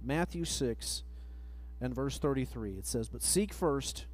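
Man, middle-aged, preacher, pulpit microphone, sermon, measured scripture reading, average pitch. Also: lively intonation, rough recording. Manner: measured, reads verses slowly, trails off at phrase ends. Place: church sanctuary, at a pulpit. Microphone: pulpit microphone, far camera.

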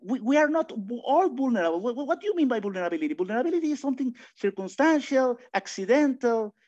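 A man from Mexico with a Mexican accent, giving a philosophy lecture online, thinking aloud with many restarts and lively asides. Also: extremely high pitch, fast talker. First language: Spanish. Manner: thinking aloud, frequent restarts and filler sounds, animated asides. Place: home room, indoors. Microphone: video call webcam. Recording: dull lo-fi video call audio.

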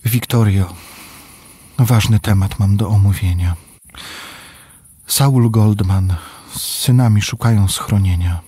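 A man's voice: lowish voice, takes it slowly, long pauses, warm tone, breathy edge, no echo. An actor playing an inventive, devout village father in a rhymed children's radio play. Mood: grave.

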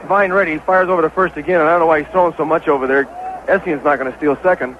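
A high-voiced American man calls a baseball game in 1970s radio style. He is an excitable, openly partisan play-by-play announcer. The old broadcast recording sounds dull, with clear ballpark noise behind him. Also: racing. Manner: excitable, partisan home-team caller. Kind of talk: 1970s live radio sports commentary.

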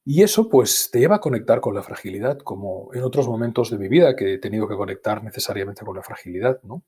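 A man in his fifties with a Spanish accent speaks in a slightly husky voice over an earphone mic on a video call. He reflects calmly and slowly on his family, pausing and restarting words. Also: on the low side. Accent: Spanish from Spain. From Spain. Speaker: guest. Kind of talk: reflective personal storytelling. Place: home study with bookshelves.

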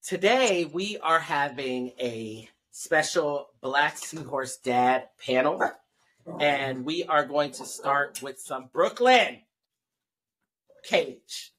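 A man in his thirties hosts a casual podcast panel at home. He talks in a relaxed, chatty way, laughing easily and stopping to explain terms for the listeners. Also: on the high side, slow pace, slight background noise. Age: 30s. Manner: laid-back, laughing, explaining. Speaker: podcast host.

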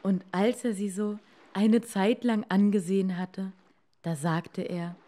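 Woman reading a bedtime fairytale, real slow, pauses for effect. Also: mid-range voice, steady tone, dark tone. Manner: measured, dramatic pauses. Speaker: storyteller. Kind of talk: scripted fairy-tale narration for children.

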